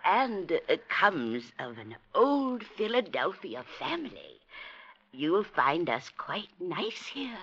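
Slow, imperious old society matron. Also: female voice, fairly low pitch, big pitch swings, fairly bright tone, husky, muffled recording, heavily acted. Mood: gracious, snobbish. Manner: haughty, measured, commanding. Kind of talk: 1940s radio acting. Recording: noisy old 1940s radio recording.

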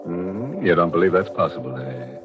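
A man with a very deep voice hosts a 1940s radio mystery. His narration is scripted and theatrical, with tongue-in-cheek macabre relish. It sounds like a dull vintage broadcast with prominent music. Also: sing-song, normal speed.

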